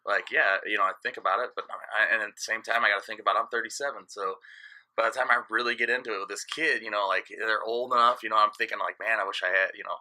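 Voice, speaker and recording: A man's voice: on the low side, steady tone, quick pace, bright, forceful tone, poor audio. A man in his late thirties, a DJ guest in casual podcast chat, talking frankly and rambling, often trailing off and restarting. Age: 30s.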